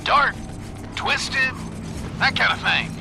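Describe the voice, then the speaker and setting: An American actor playing a chatty, slangy sidekick buddy in a video game, casual and familiar. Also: male voice, extremely high pitch, slow pace, over music, heavily acted. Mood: chummy.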